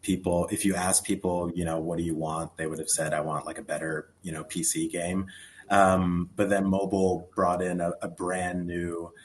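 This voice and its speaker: A man on a conference panel giving a measured, explanatory answer with frequent pauses. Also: low-pitched, flat delivery.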